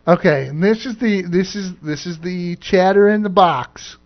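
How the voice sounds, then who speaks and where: Male co-host, relaxed and self-effacing, slightly high voice, dull lo-fi recording. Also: normal speed. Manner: laid-back, modest, laughs often. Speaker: radio show co-host. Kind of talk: casual banter on internet radio. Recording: dull lo-fi audio.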